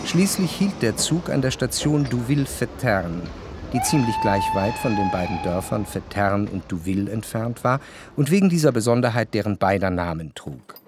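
A man playing a reflective, cultured narrator in a radio drama, speaking measured, articulate standard German. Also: fairly low pitch, expressive, heavy background noise, close to an everyday voice. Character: cultured first-person narrator, reflective society observer.

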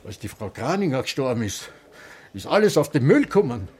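An actor playing a grumpy, rambling old Austrian man in a sanatorium, with halting speech, in a radio drama. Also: medium pitch, sing-song, darkish tone, husky. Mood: querulous. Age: older. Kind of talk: radio drama acting with inner monologue.

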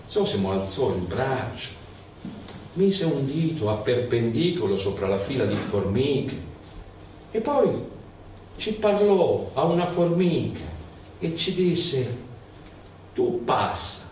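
A man's voice: medium pitch, very animated, takes it slowly, mellow. A man narrating a folk tale theatrically, in a dramatic, incantatory manner with repeated phrases. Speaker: storyteller and stage actor. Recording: roomy, distant mic, faint background noise.

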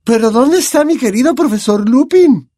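A man's voice: very high voice, expressive, moderate pace, warm tone, dry recording, studio quality, pitched above the performer's own voice. A man voicing an eccentric female seer with a distant, theatrical way of speaking. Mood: lofty.

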